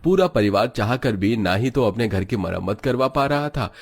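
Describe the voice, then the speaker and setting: A man reading scripted narration for an animated family story. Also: mid-range voice, moderate pace, breathy edge.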